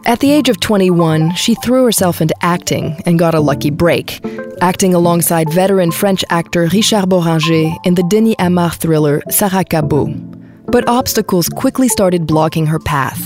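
Woman narrating a doc, lowish voice, calm and even, music under it. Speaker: documentary narrator. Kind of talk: scripted narration. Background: music bed.

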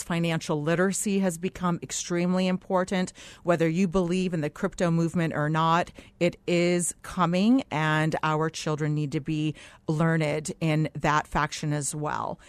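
A woman's voice: on the low side, steady tone, normal speed, clear, resonant tone, no room sound. A middle-aged woman from Lebanon speaking as a guest in a radio interview, explaining her views in an earnest, emphatic way with many asides. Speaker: political candidate, studio guest. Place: radio studio. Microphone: close studio microphone.

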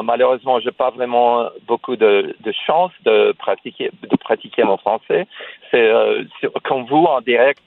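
Male guest, native Russian speaker, talking French over a dry, phone-like line, halting and searching for words, middle-pitched voice. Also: vocal fry, dry recording. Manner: hesitant, many fillers and restarts. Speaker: cellist guest. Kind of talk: radio interview by phone.

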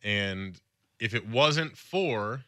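A man co-hosting a sports radio show, chatting quickly and casually about college football with a lot of filler words. His voice sits a little low but sounds bright and slightly raspy. The close mic leaves the sound very dry. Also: animated, no room sound. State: slightly raspy from recent illness. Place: radio studio.

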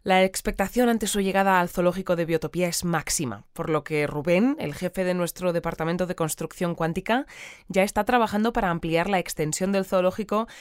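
Audio fiction: actress as poised female news presenter, crisp clear voice, dry close studio sound, deadpan. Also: on the low side, no echo, lightly acted. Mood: composed deadpan. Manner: deadpan, measured, polite. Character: composed, deadpan female community radio newsreader. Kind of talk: scripted radio news narration.

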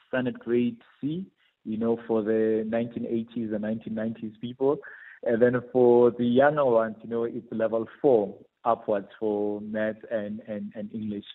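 Male interview guest, South African accent, husky voice, explanatory, peppered with "you know", slightly muffled lo-fi sound. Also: medium pitch, flat delivery, normal speed. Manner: frequent "you know" as a verbal habit. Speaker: air traffic controller, interview guest.

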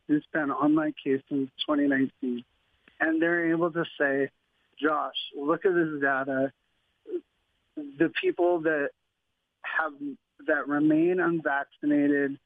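Guy calling in on the phone, gravelly, kinda pleading, thin phone sound. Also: on the high side, no room sound. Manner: earnest, pleading. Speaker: caller. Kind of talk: phone interview. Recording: tinny phone line.

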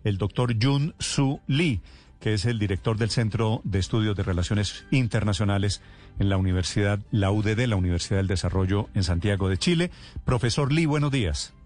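A man's voice: fairly low pitch, fairly quick, a little mellow. A man hosting a news interview, introducing his guest formally and asking questions. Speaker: news host.

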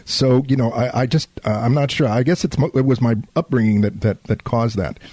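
Texan man, radio talk host, halting speech, repeats words, husky voice. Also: medium pitch, warm tone, no echo. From San Antonio, Texas.

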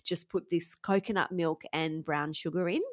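An Australian woman co-hosting a casual chat about baking, a lively storyteller who reads passages with relish. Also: fairly low pitch, moderate pace. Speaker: podcast co-host.

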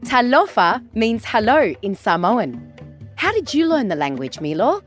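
A woman's voice: a little high, very animated, unhurried, soft background music. A woman hosting a children's podcast in a cheerful, sing-song presenting style.

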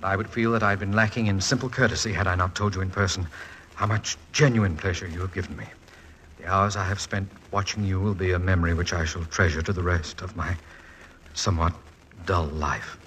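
An actor playing a shy, bookish professor, formal and wordy, in 1940s radio style. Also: male voice, low voice, steady tone, fairly quick, fairly bright tone, slight background noise, rough recording. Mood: shy, earnest. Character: courteous, shy, bookish American male professor. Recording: vintage 1940s radio recording.

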